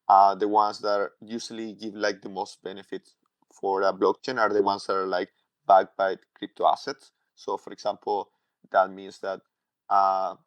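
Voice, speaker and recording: A man's voice: lowish voice, no room sound. A man from Chile, a tech executive giving a casual technical explanation, full of "like" and "uh" fillers. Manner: halting, heavy use of "like" and "uh" fillers. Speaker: tech company CTO, podcast guest.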